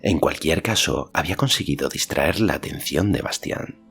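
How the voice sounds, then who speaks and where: A man's voice: rumbling low, animated, normal speed, fairly bright tone, soft background music. A man reading a fantasy novel aloud as scripted audiobook narration.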